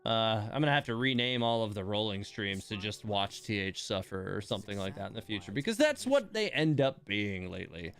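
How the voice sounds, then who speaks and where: A man's voice: on the low side, expressive, fairly quick, few pauses, a bit bright. A man hosting a game livestream, chatting casually in a rambling, self-deprecating way. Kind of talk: casual livestream chat while playing a game.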